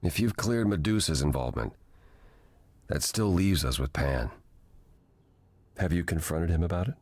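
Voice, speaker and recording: An actor playing a gentle, melancholy god in a video game, speaking in a measured, formal and earnest way. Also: male voice, sits low, lively intonation, dry recording. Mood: thoughtful. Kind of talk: video game dialogue acting.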